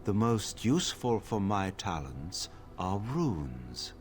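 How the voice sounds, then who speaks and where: A dignified senior mage speaks very slowly and formally, with a low voice that still moves expressively. Faint hiss sits under this video game voice. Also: male voice, sing-song, full character voice. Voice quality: measured, formal. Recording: game audio with faint noise.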